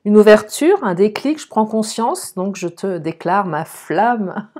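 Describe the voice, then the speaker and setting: A slightly hoarse woman gives an informal love card reading, chatting to viewers and thinking aloud. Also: mid-range voice, expressive, unhurried, darkish tone. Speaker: online love card-reading guide and host.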